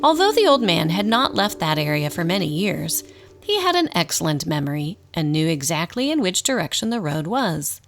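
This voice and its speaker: A woman acting as the narrator of a radio drama, telling a scripted story in a slightly formal, expressive storybook style. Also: lowish voice, moderate pace, soft background music. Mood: storytelling. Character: storybook narrator, wry and unhurried.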